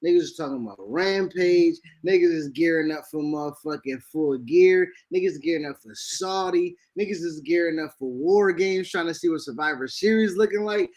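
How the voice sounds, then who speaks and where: A man from New co-hosting a casual wrestling podcast, loud and slangy with hype-man energy. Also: fairly high pitch, moderate pace, hardly any pauses. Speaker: podcast co-host.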